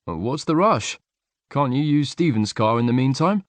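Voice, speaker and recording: A man voicing a cheerful, eager young male friend, lifting his voice well above his narrating pitch. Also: expressive, moderate pace, no echo, lightly acted. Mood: friendly. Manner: bright, eager.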